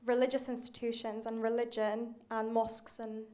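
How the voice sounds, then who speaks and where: A woman's voice: a little high, little pitch movement, normal speed, warm tone. A young woman from Turkey, a researcher in the audience, asking a chatty question with frequent "um"s.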